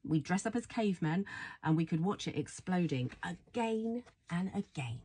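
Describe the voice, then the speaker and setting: A woman reads a children's bedtime story aloud in a soft, husky voice, affectionate and playful, full of wonder. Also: fairly low pitch, animated, normal speed, slight background noise. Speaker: storybook reader.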